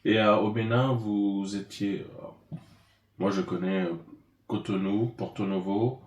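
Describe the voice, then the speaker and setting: A man's voice: lowish voice, fairly even intonation, a little slow. A man hosting an interview, asking questions casually and hesitantly with many fillers. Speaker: interviewer, host. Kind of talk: conversational interview. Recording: echoey room.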